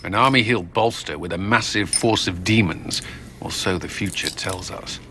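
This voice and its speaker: An actor playing a cautious, sober military advisor in a fantasy video game. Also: male voice, low voice, moderate pace, background noise, close to an everyday voice. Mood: wary. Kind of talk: dramatic video game acting.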